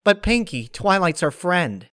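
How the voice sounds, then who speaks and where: Man as a brash cartoon pegasus mare, raised pitch, direct, loud, trusting, dry storybook recording. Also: big pitch swings, measured pace, clear, resonant tone, no room sound, heavily acted. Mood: protesting. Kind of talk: dramatic fan-fiction character reading.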